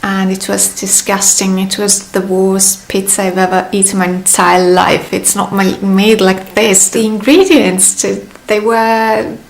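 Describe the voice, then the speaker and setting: A woman's voice: mid-range voice, little pitch movement, unhurried, creaky, hardly any pauses, echoey room, low-quality recording. A young woman from Italy with an Italian accent, chatting casually to camera with many fillers and restarts. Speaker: vlogger. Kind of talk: casual vlog monologue.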